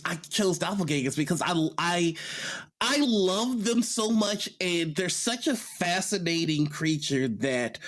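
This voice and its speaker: A man speaking as an enthusiastic, explanatory panelist in a casual tabletop gaming discussion. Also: on the high side.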